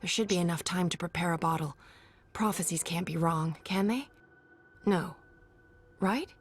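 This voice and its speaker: An actress playing a brisk young woman who mutters to herself while gathering potion ingredients. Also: lowish voice, a little hiss or hum, natural voice. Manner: muttering to herself as she works.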